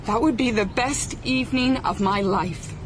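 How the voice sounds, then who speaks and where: An actress playing an eager, nervy young chorus dancer in a radio drama, with a gushing manner. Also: female voice, a little high, normal speed, natural voice. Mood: eager, starstruck. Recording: clear car and street noise.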